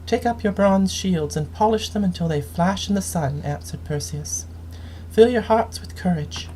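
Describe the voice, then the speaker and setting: A woman game streamer reading story texts aloud in a measured, dramatic storytelling manner, with noticeable noise in the recording. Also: medium pitch, mellow, rough recording. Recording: clearly audible background noise.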